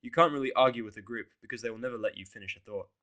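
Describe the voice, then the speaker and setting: A man's voice: medium pitch, racing, pushed and bright. A man reading fiction aloud as a dramatic first-person narration. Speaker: audiobook reader.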